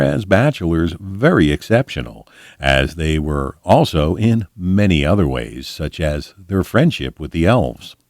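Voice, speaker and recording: A man reading a fantasy book aloud as a volunteer narrator, in a measured, expressive storytelling delivery. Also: low-pitched, no room sound, studio quality.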